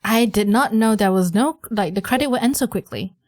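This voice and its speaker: A woman let's-player reacting casually to a game in an excitable manner, with gasps and exclamations. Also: mid-range voice, a little fast, no room sound. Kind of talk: casual gameplay reactions and reading aloud. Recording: loud music behind the voice. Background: loud game music.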